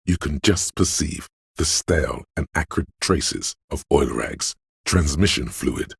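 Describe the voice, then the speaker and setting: A man voicing the wry inner voice of a detective's sense of smell in a game, with a slow, sardonic delivery. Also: no room sound, studio quality, exaggerated voice. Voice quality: deep gravelly husky voice. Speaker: voice actor for game narration. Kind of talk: scripted game voice lines. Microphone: close studio mic.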